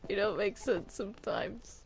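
A woman's voice: medium pitch, lively intonation, a little slow, gravelly, low-quality recording. A woman chatting casually in a roleplay game, hesitant and often repeating her words. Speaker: player in a Minecraft roleplay.

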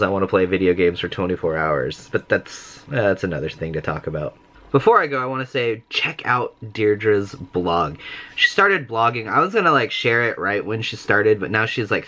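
Bright-voiced young man, chatty vlog. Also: lowish voice. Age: young adult. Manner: chatty, rambling, self-mocking. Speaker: vlogger. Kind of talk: casual daily vlog chat. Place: small bedroom, indoors. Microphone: camera close to face.

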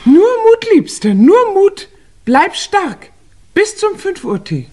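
An actress playing a melodramatic, self-interested society fiancée, affected and gushing, in a 1950s German radio play. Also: female voice, very high voice, sing-song, slow, darkish tone, heavily acted. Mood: breezy, encouraging.

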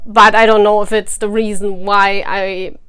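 A woman's voice: mid-range voice, level tone, unhurried, clean tone. A woman whose first language is German, chatting casually to her viewers as a tarot reader, laughing often between sentences. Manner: chatty, laughs often, warm encouragement to fans. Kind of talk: casual chat to camera.

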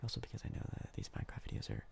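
A young man giving soft-spoken ASMR game commentary in a breathy, crackly whisper, casual and rambling with hesitant pauses. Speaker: ASMR gaming YouTuber.

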